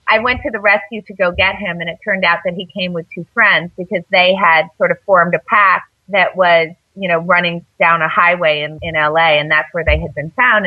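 A woman's voice: on the low side, no echo, phone-quality sound. A woman guest on a radio interview show, telling stories casually, rambling with lots of fillers. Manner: rambling, many fillers and restarts. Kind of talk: casual storytelling.

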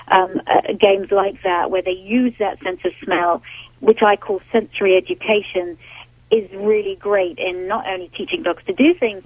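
A woman's voice: mid-range voice, no room sound. A woman dog trainer giving advice as a guest on a call-in radio show, explaining with plenty of fillers and restarts. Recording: tinny phone line, dry.

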